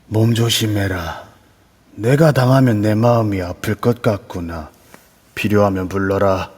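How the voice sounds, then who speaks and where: A haughty ghost master , played by a man, talks down in a lowish, husky voice. He sounds lordly yet protective of his servant. A long reverb trails each line. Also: measured pace, a little mellow. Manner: haughty, paternal.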